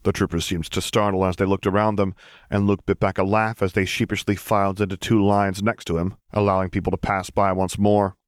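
A man's voice: low voice, level tone, brisk, no room sound, clean studio sound. A man reading scripted story narration as an audiobook narrator.

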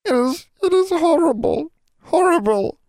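A servile old female house elf, as a male narrator puts her on, sobs and wails in a squeaky, far-off-sounding voice, dragging her words slowly. She keeps calling herself by her own name. The sound is close and dry. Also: animated, a little mellow, no echo, full character voice. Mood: wailing. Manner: sobbing, speaks of herself in third person.

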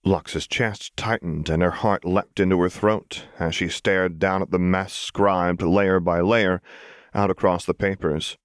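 A man narrates scripted fiction in a low-pitched voice. He reads as an audiobook narrator, and the recording is dry, with very little room sound. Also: steady tone, a little slow, no room sound.

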